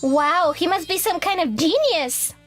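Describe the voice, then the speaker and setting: A woman acting a high-voiced, catty young woman. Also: animated, unhurried, fairly bright tone, soft background music, full character voice. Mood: mocking disbelief. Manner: scornful, exclaiming. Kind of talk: anime-style story dub acting.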